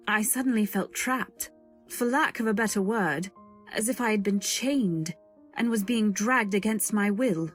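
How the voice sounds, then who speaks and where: A woman narrating and acting in an old-time style radio drama, with a gentle, earnest and hesitant way of speaking. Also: medium pitch. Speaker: narrator and lead actress.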